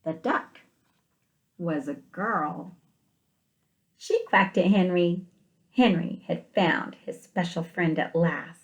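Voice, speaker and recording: A woman teacher reading a picture book aloud to young children, expressively, with gentle questioning asides to the listeners. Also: lowish voice, takes it slowly, long pauses, muffled recording.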